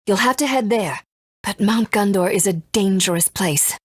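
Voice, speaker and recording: A woman voicing a gentle, earnest mother and resistance fighter in a video game, soft and breathy, speaking in a measured, tender way. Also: mid-range voice, no room sound, studio quality, natural voice. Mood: earnest, solemn. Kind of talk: English video game voice acting.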